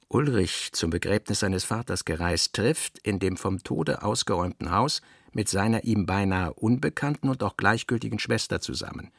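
An actor playing a reflective, self-doubting novelist reading his own notes in a radio drama, measured, cerebral and formal, with a husky voice. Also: fairly low pitch, no room sound, clean studio sound.

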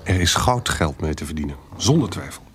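A man's voice: very deep voice, lively intonation, a little fast, fairly bright tone. A man acting in a Dutch radio drama as a sly, cynical, shabby swindler, wry and persuasive, hesitating as he goes. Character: sly, shabby, cheerfully cynical male 18th-century swindler. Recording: faint background noise.

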